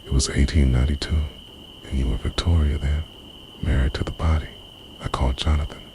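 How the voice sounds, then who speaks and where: A man playing a possessive, menacing immortal lover in an intimate, slow, breathy ASMR roleplay monologue. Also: steady tone, noisy background. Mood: ominous, possessive. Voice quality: very deep, breathy whisper.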